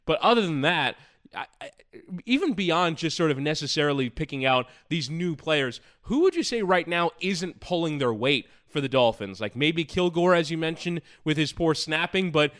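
A man's voice: a little high, expressive, moderate pace, fairly bright tone. A man co-hosting a sports podcast, talking through his analysis in a conversational style.

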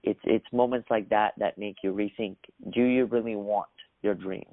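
A young man from Russia, a podcast guest telling his story in a measured, earnest way. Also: on the low side, steady tone, no echo. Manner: measured, earnest, occasional restarts. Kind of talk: reflective storytelling. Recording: dry, phone-like narrow sound.

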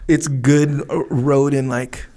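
A man's voice: medium pitch, little pitch movement, takes it slowly, warm tone. A man co-hosting a podcast in casual chat, laid-back and rambling, with many fillers and restarts. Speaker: podcast co-host. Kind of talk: casual chat with sponsor reads.

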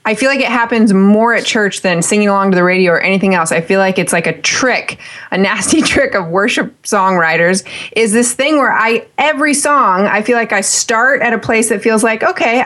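A woman's voice: medium pitch, a little fast, fairly bright tone. Chatty woman on a podcast. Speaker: podcast co-host. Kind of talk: casual chat.

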